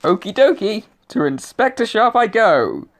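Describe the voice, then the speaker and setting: A man's voice: high voice, big pitch swings, unhurried, full character voice. A man playing the pompous ghost of a murdered novelist, dithering and self-important, in an audio drama. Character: pompous dead male novelist, now a ghost.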